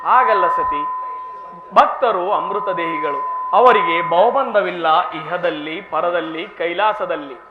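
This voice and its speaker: A man's voice: high-pitched, takes it slowly, phone-quality sound, background noise. A man playing a benevolent supreme god, speaking in formal, stately, authoritative mythological stage declamation. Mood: calm, stately. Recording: roomy echo with faint music.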